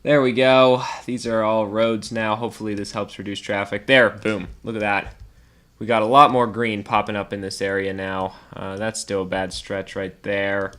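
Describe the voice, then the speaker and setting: A man's voice: lowish voice, little pitch movement, moderate pace, a bit bright. A man with a stuffed-up nose chatting casually over a city-building game as a let's play host, laughing often and thinking aloud. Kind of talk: casual gameplay commentary.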